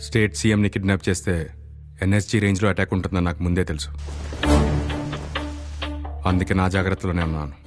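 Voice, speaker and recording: A man's voice: low voice, fairly even intonation, fast, pauses often, lightly acted. An actor playing a resolute young male kidnapper with ideals in film dialogue, speaking in a firm, articulate way over music. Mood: cold, threatening. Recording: film soundtrack with background music. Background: film score music.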